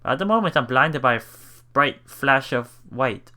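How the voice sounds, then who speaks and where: A man reading a visual novel aloud for a Let's Play, expressive and dramatic in first-person narration. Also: medium pitch, moderate pace. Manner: expressive, dramatic, with murmured reactions.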